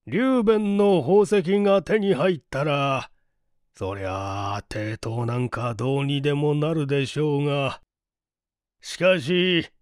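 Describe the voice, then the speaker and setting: Troubled, courteous gentleman father played by a male reader; formal, unhurried, smooth voice for audiobook mystery. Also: a little high, no echo, clean studio sound. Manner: deliberate, formal explaining.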